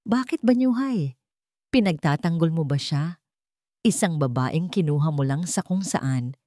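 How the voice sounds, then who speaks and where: A woman's voice: deep voice, animated, a little slow, dark tone, no room sound, studio quality. Woman voicing a haughty, accusing rich older matriarch. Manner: accusing, commanding.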